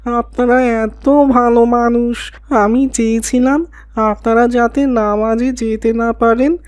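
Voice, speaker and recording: A woman's voice: on the high side, fairly even intonation, slow, darkish tone, dry recording. A woman voicing an earnest, emotional young boy in an Islamic cartoon drama. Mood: remorseful. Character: Mahadi, remorseful boy turned devout and kind.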